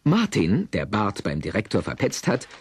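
A man's voice: fairly low pitch, wildly swooping pitch, moderate pace, slight background noise. A man narrating a children's audio drama with theatrical, wry storytelling.

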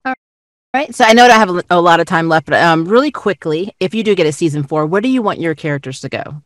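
A woman's voice: fairly low pitch, animated, fast talker, dry recording, clean studio sound. A woman hosting a casual interview over a video call in an informal, giggly manner. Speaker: interview host.

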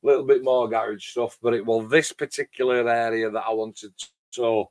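A middle-aged man with a loud voice, chatting casually and in a rambling way as host of a hobby livestream. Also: medium pitch, fairly even intonation, moderate pace. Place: home garage workshop shed.

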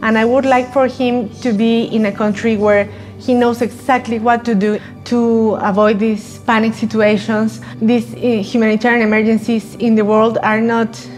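A woman speaks in a fairly high voice. She is deliberate and pauses now and then to find her words. Faint music plays underneath. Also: level tone, a little mellow. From Mexico. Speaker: education officer. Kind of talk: documentary interview.

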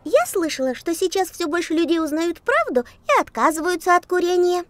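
A tiny, very high girl's voice from an educational cartoon. She is an earnest, curious pupil who speaks neatly. Music runs underneath. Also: lively intonation, fairly quick, dry recording. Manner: inquisitive, neatly enunciated. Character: curious, earnest little girl, eager pupil. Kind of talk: educational children's cartoon dialogue.

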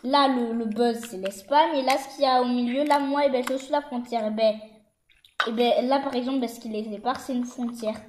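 A woman voicing an excitable, slangy young reality-show contestant who rambles and repeats herself insistently in a toy story. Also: a little high, a little fast, natural voice. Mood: explaining insistently. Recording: echoey, noisy homemade recording with clicks.

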